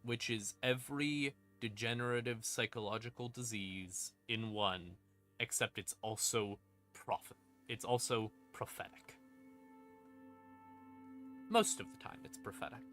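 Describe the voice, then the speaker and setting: A man's voice: medium pitch, steady tone, measured pace, fairly bright tone. A man hosting a livestream, explaining game lore in a casual, informal, sweary and emphatic way. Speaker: live streamer, host. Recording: ambient music behind the voice. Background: creepy ambient music.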